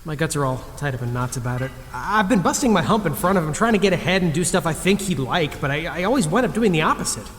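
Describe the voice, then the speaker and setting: A man's voice: on the high side, animated, fast, few pauses, a bit bright. A man playing an insecure young slacker soldier in an audio drama, wry and hesitant, sometimes tripping over his words. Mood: sheepish. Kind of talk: audio drama character acting. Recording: long reverb, faint background noise.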